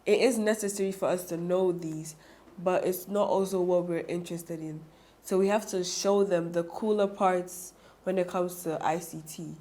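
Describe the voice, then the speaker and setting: A woman's voice: fairly low pitch, steady tone, dark tone. A teenage woman, answering interview questions earnestly. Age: teens. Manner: earnest, quick to agree. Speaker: pageant titleholder guest.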